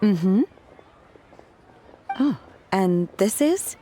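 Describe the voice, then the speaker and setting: Reserved young waitress, mid-pitched, blunt and polite, lively intonation, game dialogue. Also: female voice, extremely slow, faint music behind. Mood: businesslike. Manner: polite, matter-of-fact, literal. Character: earnest, blunt, reserved young woman disciple working as waitress. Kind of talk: game voice acting.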